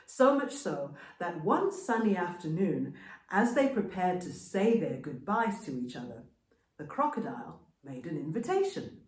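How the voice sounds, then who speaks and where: A woman storyteller narrating a scripted folktale with dramatic, deliberate, expressive phrasing. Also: lowish voice, reverberant, poor audio.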